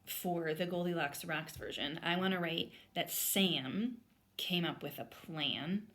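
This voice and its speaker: A woman's voice: lowish voice, slow pace, fairly bright tone. A woman speaking as an elementary teacher, reading aloud and explaining to children step by step. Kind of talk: storybook read-aloud and lesson for children.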